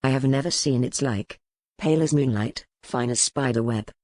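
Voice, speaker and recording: A seasoned medieval duke played by a woman speaks in a deep, lowered register. He is commanding yet gentle, a soldier and lover from a historical romance audiobook, recorded dry. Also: normal speed, no echo. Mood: tender, musing. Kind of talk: dramatic audiobook character reading.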